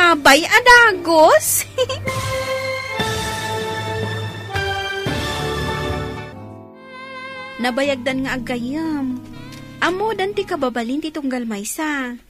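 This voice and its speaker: High-pitched, playful younger sister. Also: female voice, big pitch swings, extremely slow, long pauses, over music, heavily acted. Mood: teasing. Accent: Filipino, Ilocano. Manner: teasing, giggly. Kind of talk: Ilocano radio drama acting.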